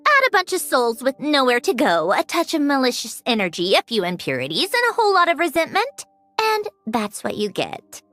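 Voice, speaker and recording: A haughty young female genius from a video game. She is high-pitched and very expressive, with dry, lecturing delivery and music behind her. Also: fairly quick, exaggerated voice. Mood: smug, lecturing. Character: proud, aloof, sharp-tongued young female genius. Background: background music.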